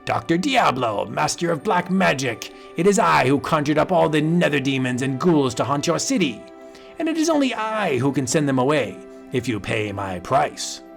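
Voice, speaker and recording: A man voicing a smug villainous sorcerer in an old cartoon, speaking slowly and sinisterly. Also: sing-song, gravelly, soft background music. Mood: menacing. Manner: slow, low and sinister.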